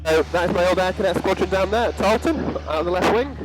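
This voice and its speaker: A man's voice: high voice, quick pace, heavy background noise. A young adult man giving a running commentary as a student pilot, talking through his actions step by step. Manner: narrating own actions step by step. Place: inside small light aircraft cockpit in flight.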